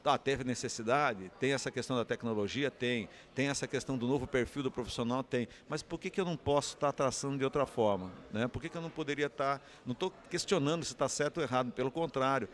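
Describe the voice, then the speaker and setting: A man's voice: mid-range voice, fast, hardly any pauses. A man with a Brazilian Portuguese accent giving an unscripted interview answer, explaining and often posing rhetorical questions. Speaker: interviewee, communications academic.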